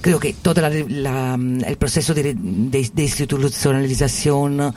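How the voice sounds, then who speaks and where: Brief: man, Italian accent, halting, filler-heavy discussion talk. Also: medium pitch, darkish tone, a little hiss or hum. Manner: halting, searches for words, many fillers. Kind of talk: casual discussion.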